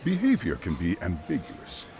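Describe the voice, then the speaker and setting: An actor playing a careful, formal school chairman in a video game, speaking in a measured and hesitant way with regret and unease. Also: deep voice, wildly swooping pitch, dark tone, a little hiss or hum, heavily acted. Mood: uneasy, somber. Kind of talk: dramatic game cutscene dialogue.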